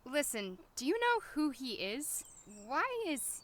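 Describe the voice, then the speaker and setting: A woman's voice: high-pitched, very animated, takes it slowly, heavily acted. A woman playing an anxious, sarcastic young doctor in an audio drama, flustered and given to talking to herself. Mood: hushed urgency. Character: anxious, wry young woman doctor, new lab worker in town. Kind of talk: audio drama acting with inner monologue.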